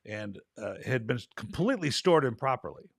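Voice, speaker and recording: A male host in a casual interview chat, a little raspy from travel, chatty and rambling. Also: medium pitch, very animated, fairly quick, dry recording, clean studio sound. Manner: chatty, rambling, laughs easily.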